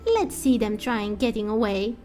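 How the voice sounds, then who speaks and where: A woman's voice: fairly high pitch, animated, moderate pace, a little mellow, faint music behind, close to an everyday voice. A woman voicing a stern temple guard in a fantasy game, curt and threatening as they hunt intruders.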